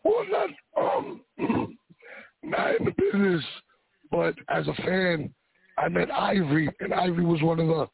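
A man from New York who has lost his voice, answering interview questions in a raspy, halting way with many pauses. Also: sits high, sing-song, no room sound. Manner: halting, many ums and repeats. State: hoarse, lost voice. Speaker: guest wrestler. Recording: tinny phone line.

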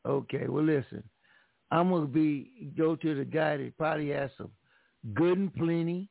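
A man's voice: fairly high pitch, normal speed, vocal fry, no echo. A man hosting a casual radio chat, joking playfully and repeating his phrases for effect. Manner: playful, repeats phrases and old-fashioned mock exclamations. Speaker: radio show host. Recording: tinny phone-like line.

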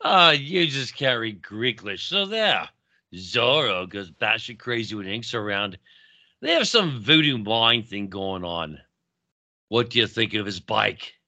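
A man plays a playful young big brother who jokes with mock formality. His voice is very bright and forceful and swings widely in pitch. He speaks slowly, and the sound is dry. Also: big pitch swings, dry recording, full character voice.

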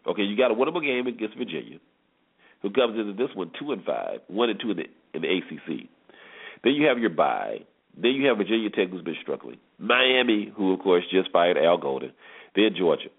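A man's voice: fairly low pitch, very animated, fairly quick, creaky, husky. An American man hosting a sports show, giving emphatic commentary and repeating phrases for effect, on a dull recording. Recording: dull, lo-fi band-limited sound.

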